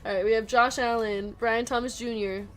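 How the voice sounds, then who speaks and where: A woman with a fairly high voice chats as she hosts a live card break, reading out players and card numbers. She laughs often and keeps a casual tone, and music plays faintly behind her. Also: steady tone, normal speed, a bit bright.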